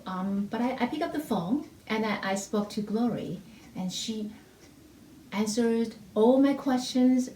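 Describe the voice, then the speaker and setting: A woman whose first language is not English tells her story as a music theory teacher, earnest and halting, with many restarts. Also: medium pitch, dark tone. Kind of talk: personal testimonial to camera. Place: small room indoors with a piano. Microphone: camera in front, medium framing. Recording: echoey room with faint hiss.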